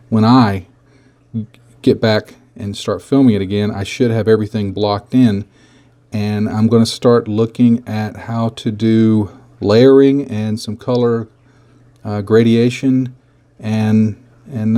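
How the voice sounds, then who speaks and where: Sick guy, hoarse and rough, low mellow voice, rambly hobby tutorial talk. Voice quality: rough, gravelly. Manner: rambling, self-deprecating, many restarts. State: hoarse from a bad cold. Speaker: scale model painting tutor.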